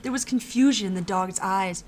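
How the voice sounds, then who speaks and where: A woman reading the narration of an audio drama, calm and even, in a middle pitch. A faint background hiss sits under her voice. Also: moderate pace. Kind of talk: storybook narration.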